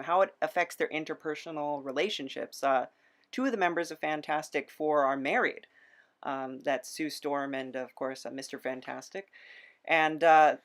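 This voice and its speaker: Halting, musing podcast host. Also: mid-range voice. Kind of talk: casual interview chat.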